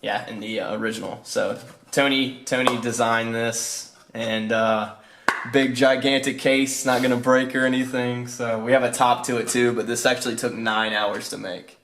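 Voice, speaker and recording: A man's voice: medium pitch, level tone, moderate pace, a bit bright, a little hiss or hum. A young man giving an informal walkthrough of a student project, talking in a hesitant, laid-back, off-the-cuff way. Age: young adult. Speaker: student presenter.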